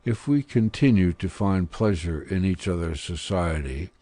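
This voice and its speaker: A man voicing a stern, blunt older priest with hidden kindness, speaking gravely and deliberately in an audiobook. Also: deep voice, animated, warm tone, no echo. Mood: earnest, advising. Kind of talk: audiobook character dialogue.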